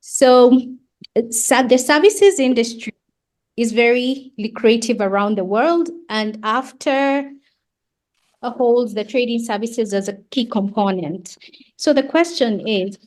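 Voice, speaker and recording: A woman's voice: on the high side, takes it slowly, darkish tone. A woman with a Kenyan accent moderating a webinar, reading panelist introductions with frequent hesitations over a video call.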